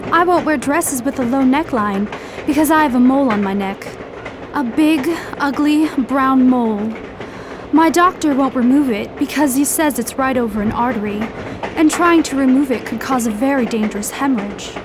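A woman's voice: sits high, normal speed, background noise, natural voice. A woman playing a sweet, trusting young bride-to-be in a radio drama, earnest and confiding. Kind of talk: amateur radio drama acting.

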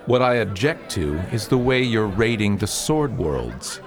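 A man playing a principled young nobleman in a radio drama, speaking formally and earnestly in a measured, argumentative manner. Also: fairly low pitch, very animated, crowd noise. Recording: clearly noisy outdoor ambience.

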